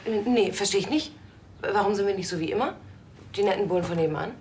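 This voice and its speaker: A woman's voice: medium pitch, quick pace, a little mellow, slight background noise, lightly acted. An actress playing a blunt, puzzled and rather anxious young policewoman.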